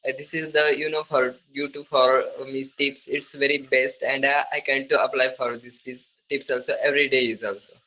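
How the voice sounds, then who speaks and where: A man with an Indian accent practising conversational English, speaking haltingly in non-fluent phrases with broken grammar. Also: medium pitch, flat delivery, bright, forceful tone, rough recording. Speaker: English learner. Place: online video call.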